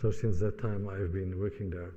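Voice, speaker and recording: A man's voice: deep voice, steady tone, moderate pace, warm tone, big-room sound, muffled recording. A middle-aged man from the Netherlands with a Dutch accent, giving the opening of a lecture in a hesitant way with many fillers and restarts.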